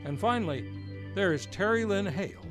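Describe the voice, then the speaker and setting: A man's voice: high voice, animated, normal speed. A man announcing an old-time radio hour, with formal, flourishing introductions. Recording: music behind.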